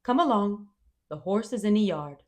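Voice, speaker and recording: A woman's voice: mid-range voice, lively intonation, moderate pace, voice pushed up, lightly acted. A woman voicing a shy, kindly farm man in a storybook, with a hesitant, homely, understated way of talking.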